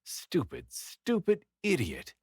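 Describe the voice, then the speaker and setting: A man voicing a storybook castaway, excitable and self-doubting, who exclaims and mutters dramatically to himself. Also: high-pitched, slow pace, slightly rough, no room sound, clean studio sound, pitched above the performer's own voice, full character voice. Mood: frustrated. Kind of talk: storybook character dialogue.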